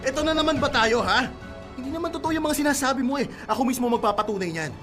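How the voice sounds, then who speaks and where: Radio drama young groom-to-be: brisk pace, Filipino accent, reasonable yet exasperated, faint music behind. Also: male voice, very high-pitched, lively intonation. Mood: exasperated, pleading. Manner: questioning, pleading. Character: practical, accommodating young groom-to-be, easily exasperated.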